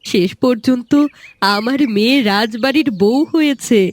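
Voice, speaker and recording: A woman's voice: on the high side, unhurried, close to an everyday voice. A woman voicing a greedy, spiteful village mother in a fairy-tale cartoon, scornful and scheming. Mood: gloating, greedy. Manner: sharp-tongued, scornful.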